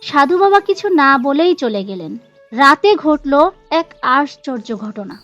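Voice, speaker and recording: A woman's voice: sits high, sing-song, unhurried, fairly bright tone, lo-fi sound, faint music behind. A woman giving scripted storybook narration for a cartoon tale.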